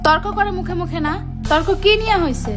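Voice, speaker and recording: A woman's voice: very high voice, lively intonation, fairly quick. A woman voicing a feisty, scolding young cartoon mother with a Bangladeshi accent and a bossy, argumentative way of talking. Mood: indignant. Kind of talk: comic cartoon dialogue. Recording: music behind the voice.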